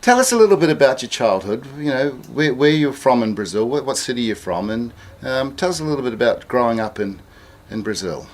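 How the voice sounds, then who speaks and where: A man asks friendly questions at a mid pitch, often restarting and rephrasing as he goes. He sounds like a club interviewer at home with his guest. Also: sing-song, brisk. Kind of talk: casual interview.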